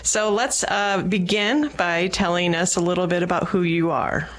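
A woman's voice: fairly low pitch, steady tone, normal speed. A woman hosting an interview show, introducing and questioning her guest with encouraging replies. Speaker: radio show host.

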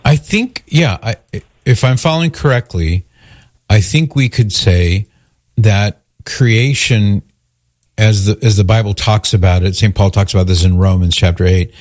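Guy hosting a religious radio call-in, lowish voice, explains stuff carefully, dry studio. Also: darkish tone, no room sound. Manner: measured, careful explaining and clarifying. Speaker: radio call-in show host. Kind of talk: religious call-in talk, conversational.

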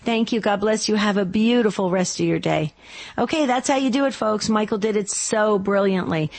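A woman hosts a radio call-in show with a slightly scratchy, mid-pitched voice. She talks casually and effusively, chatting along with her callers and listeners. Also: normal speed, creaky, darkish tone.

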